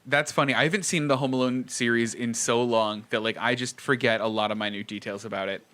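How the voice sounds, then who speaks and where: A man's voice: mid-range voice, fairly even intonation, fairly quick, sharp tone. A man co-hosting a podcast, chatting informally and wordily with plenty of filler "like"s. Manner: informal, wordy, often says "like". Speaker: podcast co-host. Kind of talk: casual podcast chat.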